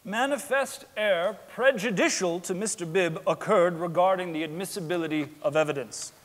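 A man's voice: high-pitched, normal speed, natural voice. A young man playing a formal, insistent man of law in a theatrical courtroom reading, in a theater. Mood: solemn, declarative. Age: young adult. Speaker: student actor in a staged dramatic reading. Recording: echoey theater hall.

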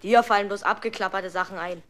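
A woman plays a sly schoolboy prankster in an old German children's radio drama. The voice sits at a middling pitch and sounds smug. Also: fairly even intonation, fast, a bit bright, close to an everyday voice. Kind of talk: 1970s German children's radio play acting.

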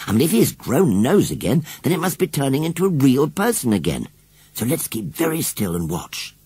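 A man's voice: mid-range voice, very animated, brisk, warm tone, breathy edge, dry recording, voice pushed up, full character voice. A man voicing an eager, inquisitive young English boy in a storybook, with a questioning, childlike manner.

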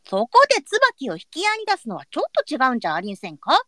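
High-pitched, sharp-tongued young courtesan. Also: female voice, huge pitch swings, quick pace, no room sound, clean studio sound, heavily acted. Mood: catty, indignant. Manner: old brothel courtesan speech.

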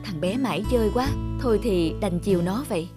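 Soft patient mom woman acting, affectionate n a bit lonely, cartoon, music under it. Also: medium pitch, animated, brisk, close to an everyday voice. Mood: wistful. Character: gentle, lonely village mother. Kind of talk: dubbed children's cartoon dialogue. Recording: music behind the voice.

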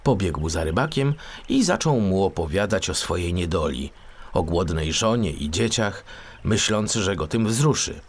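A man narrating a children's story as an audiobook, in an expressive storytelling style. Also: deep voice, unhurried.

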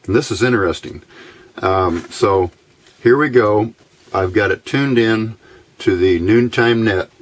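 An American man talks through a hobby demonstration in a casual, halting way. His voice is fairly low and husky, and he takes his time, with a very slow pace. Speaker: electronics hobbyist demonstrating a homemade receiver. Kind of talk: casual instructional narration. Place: indoors at a workbench. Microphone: camera microphone.

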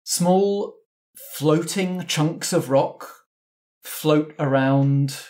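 A man narrating scenes slowly and carefully as game master of a tabletop roleplay. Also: a little high, a little mellow. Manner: slow, measured scene description.

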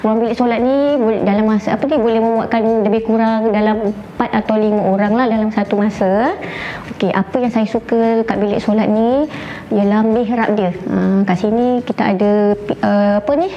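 A Malaysian-accented woman with a fairly high voice walks through her home as its owner. She chats casually and hesitates often as she looks for words. The indoor recording is slightly dull, with faint noise behind her. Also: fairly even intonation. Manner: chatty and hesitant, pausing often with "ah" and searching for words. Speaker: homeowner giving a house tour. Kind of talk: casual guided home tour to camera. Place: indoors, rooms of a furnished house.

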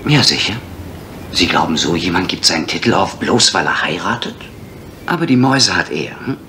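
An actor playing a brisk, bossy, gossipy male employee with a snappy, condescending manner in an old radio play. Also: sits low, expressive, pushed and bright, a little hiss or hum. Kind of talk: German radio crime drama.

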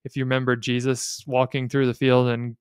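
A man's voice: medium pitch, completely flat delivery, brisk, clean tone, no room sound. Male podcast host, American, clean resonant voice, thoughtful explainer delivery, tight dry studio sound. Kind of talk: explanatory podcast talk on personal finance and faith. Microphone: close studio mic on a boom arm.

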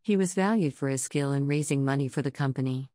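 A woman with a very low, mellow voice reads short scripted sentences as test prompts. The recording is dry and close. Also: fast, dry recording. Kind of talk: scripted example sentences read aloud.

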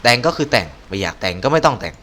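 Man playing a haughty scheming official, talking fast. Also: medium pitch, sing-song, fairly bright tone, faint music behind. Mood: smug. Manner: formal, indignant, condescending. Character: haughty scheming clan official, defensive and sneering.

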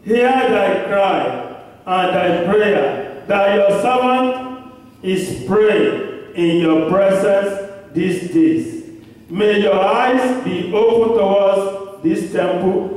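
A man's voice: high-pitched, expressive, a little mellow. A man in his thirties with a Nigerian accent, preaching a sermon at a pulpit into a handheld mic in a church hall. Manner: slow, emphatic preaching with long pauses. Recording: echoey church hall recorded from far off.

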